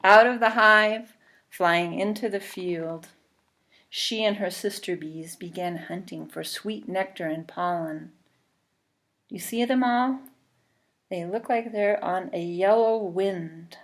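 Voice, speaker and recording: A woman reading a children's storybook aloud, expressive and deliberate, pausing between phrases and adding short asides. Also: mid-range voice. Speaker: storybook reader. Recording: clearly noisy recording with clicks.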